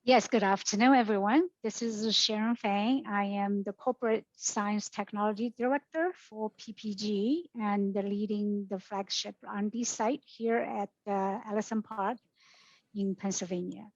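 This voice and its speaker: A woman's voice: medium pitch, moderate pace, dry recording. A woman, introducing herself on a panel in a polished, businesslike way. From China. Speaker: panelist, science director. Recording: dull, dry video-call audio.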